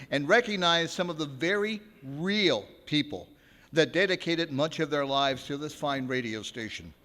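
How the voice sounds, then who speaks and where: A man working as a radio host, narrating a live radio play with theatrical, deliberate delivery. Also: a little high, a bit bright. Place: large ballroom, live stage. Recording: echoey ballroom with long reverb.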